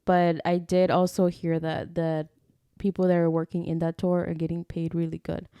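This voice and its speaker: A woman's voice: fairly low pitch, little pitch movement, normal speed, dark tone, clean tone, dry recording. A young woman co-hosting a podcast, chatting casually and mixing Spanish into her English, with lots of "like" fillers. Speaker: podcast co-host. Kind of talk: casual chat.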